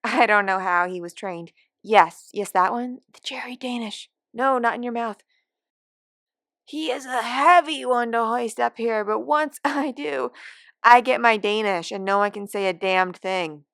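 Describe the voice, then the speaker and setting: A woman's voice: fairly high pitch, unhurried, creaky, fairly bright tone, no room sound, clean studio sound. A woman voicing a cranky, forgetful elderly care home resident in a wry, rambling, complaining monologue from a short story. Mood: fond, conspiratorial. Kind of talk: first-person dramatic monologue of short fiction.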